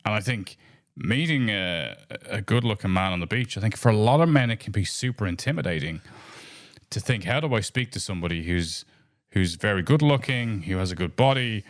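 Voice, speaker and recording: A man's voice: fairly low pitch, animated, a little fast, no room sound, studio quality. A man with an Irish accent co-hosting a podcast, talking in a reflective, thoughtful way with frequent restarts. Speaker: podcast co-host. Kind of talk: casual podcast chat.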